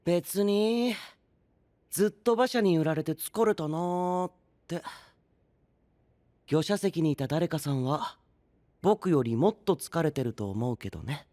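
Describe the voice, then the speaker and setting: Offhand, higher-pitched young knight. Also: male voice, lively intonation, unhurried, pauses often, warm tone, no room sound. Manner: nonchalant, casual. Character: cool, offhand, slightly sardonic young knight. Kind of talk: anime-style audio drama acting.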